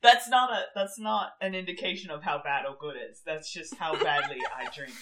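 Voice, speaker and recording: A woman's voice: low voice, fairly even intonation, a little fast, fairly bright tone. A woman co-hosting a podcast, talking frankly about a book and its TV adaptation, laughing often. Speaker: podcast co-host. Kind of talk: casual book discussion chat. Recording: roomy echo.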